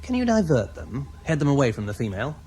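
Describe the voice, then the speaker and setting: An actor playing a scheming, wheedling spaceship officer with a smooth-talking, conniving manner in a radio science fiction drama. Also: male voice, mid-range voice, huge pitch swings, fast talker, dark tone, a little hiss or hum, rough recording.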